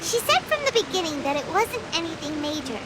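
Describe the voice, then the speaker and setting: A woman voicing a tiny, inquisitive fairy companion in a video game, with a squeaky childlike voice and exclaiming manner. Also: very animated, a little fast, very noisy. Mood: doubtful. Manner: refers to herself by name, exclaiming. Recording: music behind the voice.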